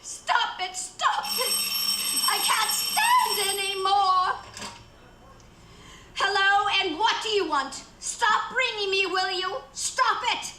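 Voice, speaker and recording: A frantic, easily outraged wife in a radio drama, pitched extremely high and shrill. She is imperious and stammers with melodramatic indignation. The room echoes around her. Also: very animated, slow pace, sharp tone, slight background noise, exaggerated voice. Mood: desperate, pleading. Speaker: actor in radio play. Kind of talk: radio theater acting.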